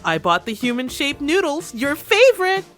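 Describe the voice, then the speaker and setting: A woman's voice: high-pitched, very animated, normal speed, a bit bright, soft background music. A woman playing a doting, cheerful mother in an audio drama, sweet and coaxing. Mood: cheery, coaxing. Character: doting, cheerful mom calling her child to eat.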